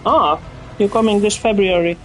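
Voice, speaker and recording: A man from Finland, casually commenting on a video game and chatting with viewers, relaxed and repeating words as he thinks aloud. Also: high voice, moderate pace, low-quality recording. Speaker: gaming streamer. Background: game music and sound effects.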